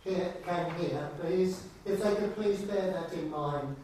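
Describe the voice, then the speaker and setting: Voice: mid-range voice, unhurried, darkish tone. A person making a polite, formal request from the audience at a meeting. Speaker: member of the audience. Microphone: distant camera microphone. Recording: echoey room, far off, faint noise.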